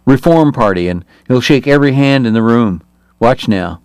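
A man's voice: mid-range voice, animated, moderate pace, darkish tone, smooth, dry recording, close to an everyday voice. A man voicing a sardonic, teasing man-about-town who gossips about the people in the room.

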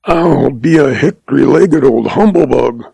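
A man's voice: medium pitch, animated, a little slow, creaky, warm tone, husky, dry recording, pitched above the performer's own voice, heavily acted. A man voicing a cantankerous old cowhand in a western story, talking in a snorting folksy drawl. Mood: gruff.

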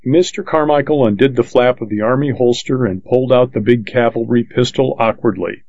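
A man reading a western audiobook in the first person, with measured, plain storytelling. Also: medium pitch, creaky, darkish tone, no room sound. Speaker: audiobook narrator. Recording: dull, lo-fi dry audiobook recording.

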